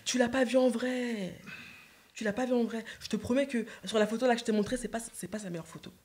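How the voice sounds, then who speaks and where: A woman's voice: a little high, steady tone, fast, darkish tone. A young woman, a guest on a livestream, talking earnestly and insisting she is sincere, into a desk microphone indoors. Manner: earnest, insistent, repeats herself. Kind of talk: casual chat on a livestream. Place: indoor living room set.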